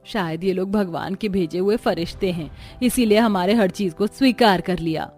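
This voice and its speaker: A woman voicing a gentle, humble young village bride, earnest and deferential, in a cartoon family story. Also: mid-range voice, normal speed, soft background music, natural voice. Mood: amazed, grateful.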